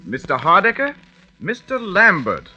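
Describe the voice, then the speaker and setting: Formal butler, swooping calls. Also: male voice, sits high, wildly swooping pitch, measured pace, heavily acted. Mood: formal, announcing. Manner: stiff, formal announcing. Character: formal male butler announcing guests. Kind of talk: 1940s radio drama acting. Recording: muffled old radio recording with loud music.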